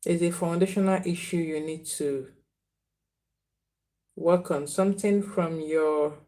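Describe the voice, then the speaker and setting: A woman's voice: on the low side, fairly even intonation, a little slow, warm tone. A woman giving spiritual readings on a live stream, repeating names and phrases and earnestly scolding viewers. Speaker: spiritual reader.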